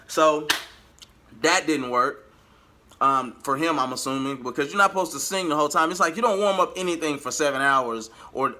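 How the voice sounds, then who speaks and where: A man telling a story casually to his viewers, animated and slangy, slipping into the words of people he quotes. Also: a little high. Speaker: vlogger and amateur singer.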